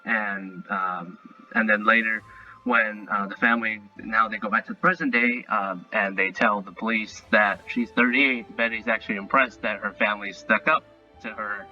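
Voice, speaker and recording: A man giving a casual, unscripted review and recap, rambling and halting as he repeats himself. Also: lowish voice, steady tone, sharp tone. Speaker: online cartoon reviewer. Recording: dull lo-fi audio, music bed. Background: faint music.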